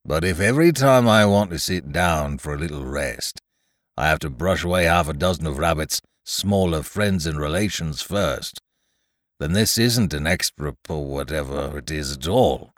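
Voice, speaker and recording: Deep, gloomy storybook donkey. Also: male voice, lively intonation, moderate pace, no room sound, studio quality. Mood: gloomy, resigned. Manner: ponderous, stumbles over long words. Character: gloomy, put-upon, grumbling old toy donkey. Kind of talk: children's storybook reading.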